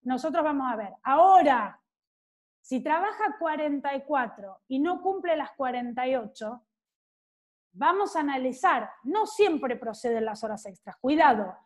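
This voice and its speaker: A woman in her forties with an Argentine accent, giving an online law class in a chatty way, joking with students by name. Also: high voice. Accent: Argentine Spanish. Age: 40s. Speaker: law lecturer.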